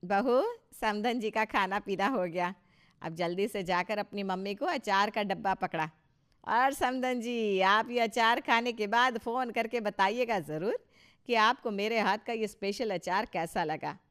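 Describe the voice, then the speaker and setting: Cartoon mother-in-law, played by a woman: proud, scolding, emphatic, pushed higher and louder. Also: unhurried, clear, resonant tone, close to an everyday voice. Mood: bossy. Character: proud, bossy, hot-tempered middle-aged mother-in-law.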